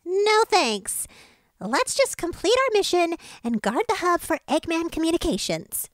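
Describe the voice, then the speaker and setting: A woman's voice: very high-pitched, big pitch swings, unhurried, no echo, studio quality, heavily acted. A woman voicing a sensible, earnest young male fox who tends to worry. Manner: earnest, concerned.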